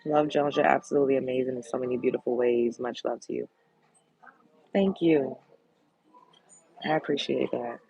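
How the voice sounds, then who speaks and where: A woman with a deep, sultry voice chats casually on her livestream and answers comments bluntly. Her tone is gravelly with a husky edge. She talks in a candid, easygoing way. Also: steady tone, normal speed, pauses often, mellow, lo-fi sound. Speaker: host.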